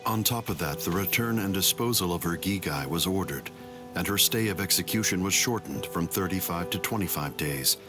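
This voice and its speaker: An actor playing a composed, courteous captain in an anime game, speaking in a measured, polite and probing way. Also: male voice, deep voice, lively intonation, over music. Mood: grave, concerned. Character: composed, courteous, mild-mannered male captain. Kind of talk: English-dubbed anime game dialogue.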